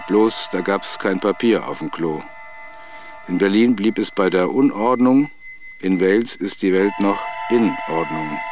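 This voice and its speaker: Low-voiced man reciting verse over music.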